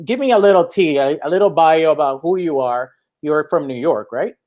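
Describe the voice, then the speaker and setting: A man hosting a live-streamed talk show, excitable and gushing, with lots of fillers. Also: fairly high pitch, a little fast.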